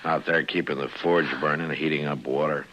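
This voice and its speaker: An actor playing a steady, reassuring frontier lawman in a 1950s radio western, plain-spoken and soothing. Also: rumbling low, a little fast. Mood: calm, reassuring. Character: big steady, reassuring frontier lawman, calm under pressure. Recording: muffled vintage radio recording, faint hiss.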